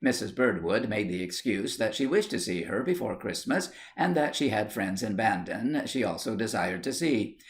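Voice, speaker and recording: A man reading a story aloud as scripted literary narration, in an expressive storytelling manner. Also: fairly low pitch, moderate pace, few pauses. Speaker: audiobook reader.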